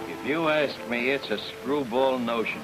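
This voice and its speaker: An actor playing a dry, skeptical detective's boss in an old-time radio drama, droll and disapproving. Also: male voice, medium pitch, measured pace, natural voice, rough recording. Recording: old radio recording with music.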